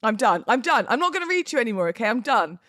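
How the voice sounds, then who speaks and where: A British woman hosting a podcast solo, talking in a casual, cheeky, expressive and informal way. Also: a little high, fast talker, dry recording, studio quality. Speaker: podcast host.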